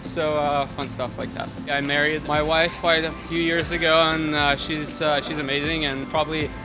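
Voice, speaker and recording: A man's voice: on the high side, level tone, moderate pace, poor audio. A man in his twenties from Moscow, a native Russian speaker with a Russian accent, casually introducing himself as a racing driver. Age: 20s. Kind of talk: casual self-introduction to camera. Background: music.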